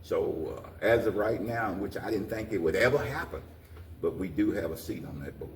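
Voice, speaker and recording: An older American man with a low voice speaks in a roomy space, some distance from the mic. He gives folksy, exhorting interview answers, often circling back over his words. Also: moderate pace, slight background noise. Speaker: guest, community organization president. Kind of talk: interview talk, plain-spoken encouragement.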